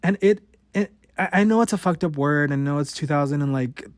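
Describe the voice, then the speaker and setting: A man's voice: on the high side, fairly quick, dry recording, clean studio sound. A man in his late twenties who stutters, hosting a podcast and talking bluntly and frankly about his own life, with frequent swearing. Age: 20s. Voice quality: stutters, with repeated words and cut-off sounds. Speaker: podcast host. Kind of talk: candid personal monologue.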